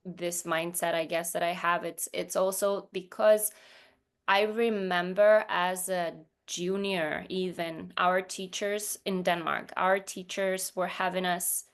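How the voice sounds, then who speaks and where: A woman's voice: fairly low pitch, fairly even intonation, slow pace. A woman from Iceland, a non-native English speaker, talking casually in an interview with hesitant phrasing and frequent restarts. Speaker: podcast guest, professional dancer.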